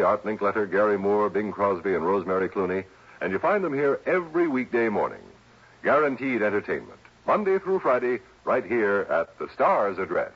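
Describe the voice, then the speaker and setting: Animated low-voiced old-time radio announcer. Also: male voice, husky. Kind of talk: 1950s-60s radio promo announcing. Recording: dull old radio recording.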